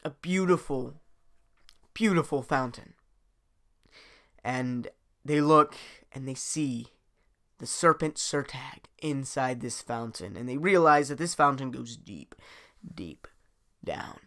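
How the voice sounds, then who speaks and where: Bright-voiced man telling a dramatic adventure story. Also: mid-range voice, expressive, slow, pauses often. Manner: theatrical, swinging from hushed to loud.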